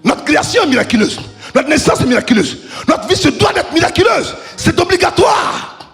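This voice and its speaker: A man pastor preaching a fiery sermon in a church, with chanted and shouted peaks, and questions he answers himself. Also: big pitch swings, moderate pace, gravelly, soft background music. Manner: preaching with sung, shouted crescendos then dropping low; asks the congregation questions and answers them himself. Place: church service.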